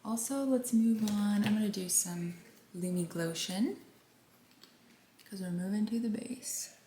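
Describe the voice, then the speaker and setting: A young woman with a soft, gentle voice, chatting casually through a makeup routine, rambling and sometimes whispering. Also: mid-range voice, measured pace. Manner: rambling, drops into whispers at times. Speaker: beauty vlogger. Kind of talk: soft chatty get-ready-with-me vlog. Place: home. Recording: echoey room with faint background noise.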